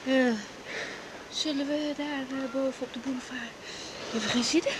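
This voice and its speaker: A woman playing an earnest, caring young doctor in a radio drama, speaking in a hesitant, halting way. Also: high-pitched, steady tone, natural voice. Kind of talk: 1980s Dutch radio drama acting. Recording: noisy 1980s radio recording.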